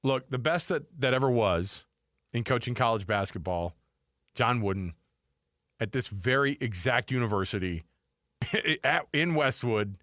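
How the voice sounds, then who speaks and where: A man's voice: medium pitch, expressive, measured pace, pauses often, a bit bright, no echo, studio quality. A man co-hosting a sports talk show, debating informally, thinking aloud and putting questions to his partner.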